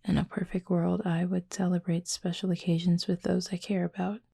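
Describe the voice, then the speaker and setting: A woman playing a reserved, gently teasing cupid roommate in an intimate audio roleplay, speaking softly and breathily in a dry, measured way. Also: on the low side, level tone, creaky, breathy edge. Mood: reserved, thoughtful. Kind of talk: intimate roleplay audio drama, addressing the listener.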